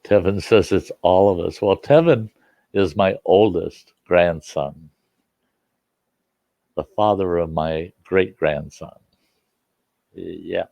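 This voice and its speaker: A man's voice: deep voice, gravelly, dry recording, dull audio. An older man with a slightly stuffy nose, chatting and reminiscing in a folksy, rambling way as he hosts a casual livestream from home. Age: 60s or older. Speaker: livestream host and storyteller. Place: indoors at home.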